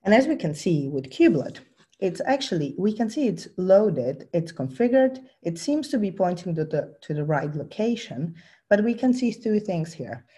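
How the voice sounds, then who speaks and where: A woman's voice: lowish voice, sing-song, normal speed, mellow, husky. A woman with a cold giving a technical presentation, explaining a live demo with frequent ums and restarts. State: has a bad cold. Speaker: presenter giving a tech talk.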